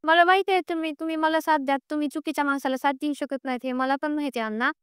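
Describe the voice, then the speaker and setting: A woman's voice: high-pitched, fairly even intonation, very fast, dry recording, clean studio sound. A young woman, speaking insistently and pleadingly as she airs a grievance on a recorded phone call. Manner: insistent, aggrieved, pleading, repeats herself. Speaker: caller with a personal grievance. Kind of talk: emotional private phone conversation.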